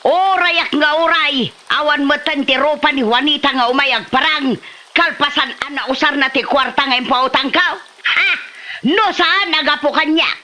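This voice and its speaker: An actress playing an irate, older Filipino neighborhood moneylender who scolds and insists in a comedy drama. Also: female voice, high voice, normal speed, bright, forceful tone. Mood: aggrieved. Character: irate older woman, a pushy neighborhood moneylender demanding repayment. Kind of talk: Ilocano comedy drama acting.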